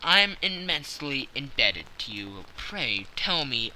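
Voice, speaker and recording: A man voicing a proud, excitable king who talks in loud exclamations. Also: medium pitch, unhurried, a little hiss or hum, heavily acted, rough recording. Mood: grateful. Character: proud, excitable middle-aged male king.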